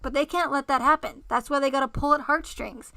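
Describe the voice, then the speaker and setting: A woman with a fairly high, bright voice hosts a relaxed livestream and talks to her viewers by name. Her voice is gravelly and going raspy, and she circles back to the same points. The sound is dry and close, with no room echo. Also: fairly quick. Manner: talks straight to the chat, repeats herself. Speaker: livestream host. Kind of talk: casual livestream chat with viewers.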